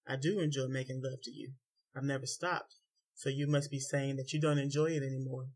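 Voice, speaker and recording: Guy voicing a frustrated husband in a story, low tight voice, stern. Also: moderate pace, a bit bright, husky. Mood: soft. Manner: low and tight, dropping to near whisper.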